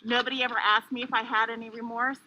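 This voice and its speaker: A woman's voice: on the high side, little pitch movement, fast talker, bright, forceful tone. A woman making unscripted remarks, recorded as a muffled, noisy news clip.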